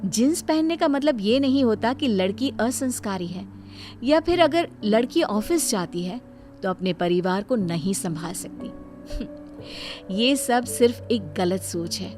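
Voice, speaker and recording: A woman's voice: on the high side, animated, unhurried, a little mellow. A woman voicing a self-assured young working daughter-in-law in a story, polite but firm and moralising. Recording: music behind the voice.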